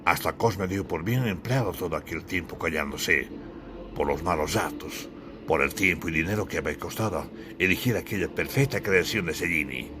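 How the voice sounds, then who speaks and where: A man's voice: low voice, moderate pace, background noise. A man reading a scripted audiobook in a solemn, literary, declamatory manner. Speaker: author narrating his own audiobook. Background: music.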